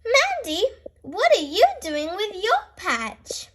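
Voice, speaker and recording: A woman reads the part of a kindly female gazelle teacher in a children's storybook. She is high-voiced and unhurried, explaining things gently and patiently, with lots of encouraging lilt. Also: wildly swooping pitch, heavily acted.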